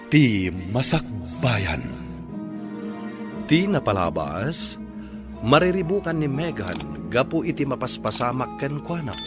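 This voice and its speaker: Dramatic Filipino male radio announcer. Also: medium pitch. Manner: dramatic announcer delivery with drawn-out title calls. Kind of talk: scripted radio serial narration. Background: music.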